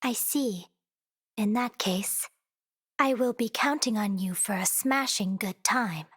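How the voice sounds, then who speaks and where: A woman's voice: medium pitch, fairly bright tone, husky. An actress playing a composed, polite, analytical young woman in a video game, speaking formally. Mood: composed, curious. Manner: formal, measured.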